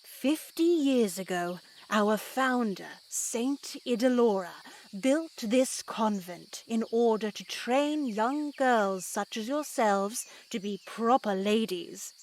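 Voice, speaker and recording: Slow-speaking, genteel convent nun. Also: female voice, fairly high pitch, expressive, darkish tone, a little hiss or hum. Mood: reverent, motherly. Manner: slow, genteel, measured, preachy. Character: serene, pious convent nun mentor, motherly yet unsettling. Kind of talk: scripted visual novel voice acting.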